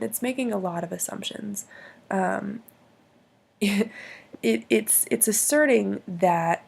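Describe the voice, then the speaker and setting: A woman with a hoarse voice from bronchitis, explaining logical fallacies in a hesitant way with many pauses and restarts. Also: mid-range voice, a little mellow. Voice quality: husky, gravelly.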